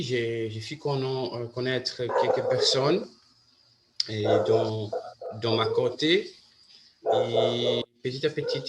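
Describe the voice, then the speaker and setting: Brazilian guy chatting slow, lots of restarts, bit of faint noise behind. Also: medium pitch. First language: Portuguese. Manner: halting, many restarts and fillers. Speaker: host. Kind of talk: casual chat in a learned language.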